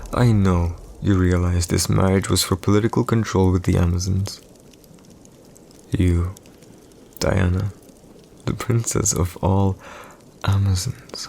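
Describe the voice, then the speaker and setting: Man playing a smug low-voiced villain emperor. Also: creaky, a little mellow, slight background noise. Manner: smug, teasing, theatrical, formal phrasing. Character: arrogant villainous young male emperor, teasing and secretly wounded. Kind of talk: audio roleplay, intimate monologue to the listener.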